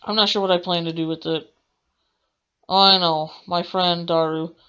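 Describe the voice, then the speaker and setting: A woman's voice: on the low side, level tone, normal speed, fairly bright tone, clean tone, highs cut off. A woman hosting a gaming let's play, reading aloud in a dramatic manner with the odd stumble. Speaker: gaming channel host.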